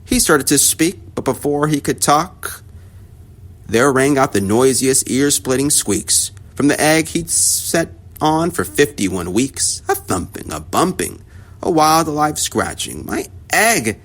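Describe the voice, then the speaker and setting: A man reading a rhyming children's story aloud with expressive, rhythmic storytelling. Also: medium pitch, unhurried.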